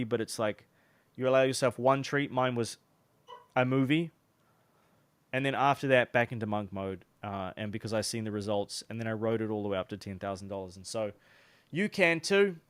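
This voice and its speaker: Man, New Zealand accent, young adult, casual vlog advice, smooth clear voice. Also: medium pitch, no room sound. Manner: chatty, loose, lots of fillers and restarts. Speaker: online business coach.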